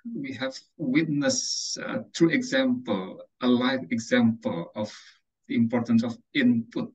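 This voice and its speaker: A man's voice: mid-range voice, measured pace, a little mellow, breathy edge, poor audio. A man, an English tutor speaking to an online class in a theatrical, emphatic way. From Indonesia. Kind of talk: presenting to a class. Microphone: video call.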